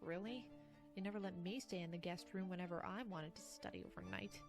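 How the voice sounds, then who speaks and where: A woman's voice: on the low side, brisk, music in the background, lightly acted. A woman voicing an ambitious, jealous young female pony student in an audio drama, smug and grumbling. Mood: sulky muttering.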